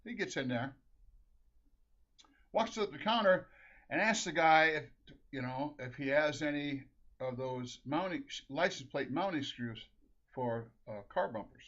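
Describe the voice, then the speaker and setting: Middle-aged man from Minnesota, casual storyteller, rambling and chuckling, slightly high voice, muffled lo-fi recording. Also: expressive, unhurried, pauses often, fairly bright tone. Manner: rambling, laughs through his story, restarts often. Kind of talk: casual anecdote. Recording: dull lo-fi recording.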